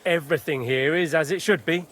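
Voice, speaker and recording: An actor playing a proud, blustering medieval camp commander, haughty, defensive and emphatic, in a dramatic video game. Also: male voice, on the high side, brisk, a bit bright.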